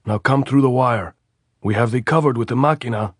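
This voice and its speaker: A man's voice: medium pitch, brisk, a little mellow, husky, dry recording, studio quality, pitched above the performer's own voice, natural voice. A man voicing a suspicious male militiaman who calls out questions from behind a trench wall.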